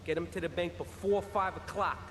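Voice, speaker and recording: An actor playing a cocky young American mobster mechanic, talking in streetwise slang in a video game cutscene. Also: male voice, sits high, fairly even intonation, fairly quick, over music, lightly acted. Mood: businesslike, firm. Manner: streetwise, casual slangy talk. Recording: echoey reverb, background noise.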